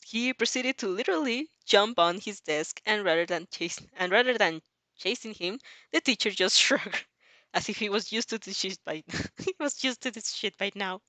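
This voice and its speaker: A woman's voice: mid-range voice, normal speed, bright, forceful tone, no room sound. A woman narrating a fan story informally, with a giggly manner and stumbles over the lines. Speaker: fanfic reader.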